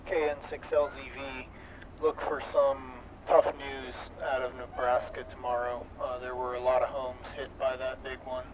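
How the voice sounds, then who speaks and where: A man speaking in a flat, level voice, serious and matter-of-fact. He comes through muffled radio audio with a clear hiss. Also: mid-range voice, normal speed. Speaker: amateur radio operator. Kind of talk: radio net check-in.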